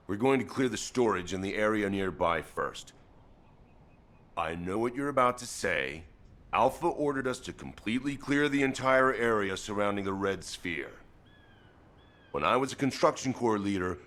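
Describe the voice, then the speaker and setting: An actor playing a stern, proud, battle-worn soldier in a video game, blunt and bent on revenge. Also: male voice, a bit bright. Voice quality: deep, weighty. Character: gruff battle-worn male soldier, vengeful, proud, loyal. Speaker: actor voicing a game character. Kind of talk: scripted game dialogue.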